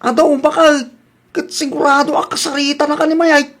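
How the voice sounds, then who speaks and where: Casting: woman as worried, loyal comedy husband; notably high pitch, earnest protesting delivery, radio drama. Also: flat delivery, moderate pace, close to an everyday voice.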